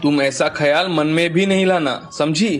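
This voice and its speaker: A man's voice: on the high side, normal speed, faint music behind, lightly acted. A man playing an emphatic, possessive village husband in a dramatised children's moral story. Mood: firm, refusing. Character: village husband, astonished, possessive about his gold.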